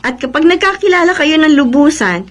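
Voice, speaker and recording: A Filipino woman playing a hopeful young woman stubbornly in love in a radio drama, expressive and a little defensive. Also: high voice, brisk.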